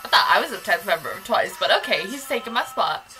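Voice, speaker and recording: Playful young woman, lively pitch. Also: quick pace, low-quality recording. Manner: playful, joking, chatty. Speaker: vlogger reacting to music videos. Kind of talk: casual reaction commentary. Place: small bedroom, indoors. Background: music from the clips.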